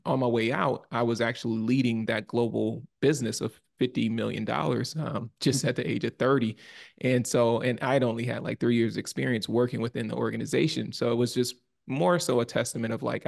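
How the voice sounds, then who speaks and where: A man in his thirties, speaking as an interview guest over a video call from a room at home, in a measured, humble way that often repeats words as he thinks. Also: mid-range voice, creaky, dry recording, clean studio sound. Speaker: guest, marketing consultant. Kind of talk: interview answers. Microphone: video call webcam.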